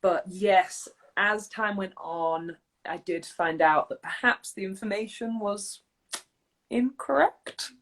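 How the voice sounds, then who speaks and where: A woman's voice: mid-range voice, slow pace, a bit bright. A woman hosting a podcast interview, chatting in a friendly, agreeable way and laughing often. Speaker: podcast host, vocal coach. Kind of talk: casual interview chat.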